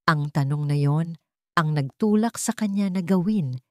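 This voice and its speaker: Low-pitched Filipino woman narrating drama. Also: measured pace, dry recording, studio quality. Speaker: audio story narrator.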